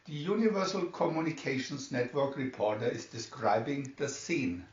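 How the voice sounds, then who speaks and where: A man's voice: mid-range voice, expressive, normal speed. A man narrating a scripted adventure story, reading it aloud as a storybook narrator. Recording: roomy, distant, slightly dull home recording.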